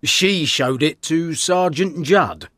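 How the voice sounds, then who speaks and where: A man's voice: fairly high pitch, takes it slowly, bright, forceful tone, dry recording, studio quality, voice pushed up, heavily acted. A man voicing a self-assured, brusque police inspector who is easily cross, in a dramatised audiobook. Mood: confident.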